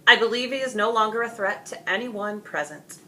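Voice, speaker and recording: A woman's voice: medium pitch, a little fast, bright, forceful tone, a little hiss or hum, pitched above the performer's own voice. A woman voicing a gallant male knight in a storybook, making proud and formal proclamations.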